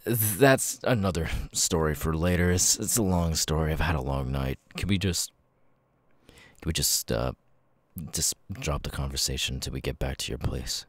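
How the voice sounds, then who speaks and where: A man playing a nervous, grateful young outcast with vocal tics in an ASMR roleplay, speaking haltingly and repeating words. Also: husky, dry recording, exaggerated voice. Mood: hesitant, weary. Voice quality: very deep voice with stuttering, sudden high-pitched tic outbursts.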